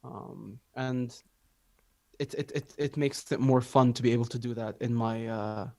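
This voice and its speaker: A man's voice: medium pitch, steady tone, unhurried, gravelly, warm tone, no echo. A young man from Libya, a musician, talking reflectively in a casual interview with many filler words. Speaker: musician guest.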